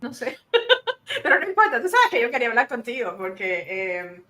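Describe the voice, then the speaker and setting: A woman with a Cuban accent hosts an informal interview. Her voice sits fairly high and sounds bright and crisp. She speaks conversationally, pausing as she searches for her phrasing. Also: wildly swooping pitch. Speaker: interviewer, host.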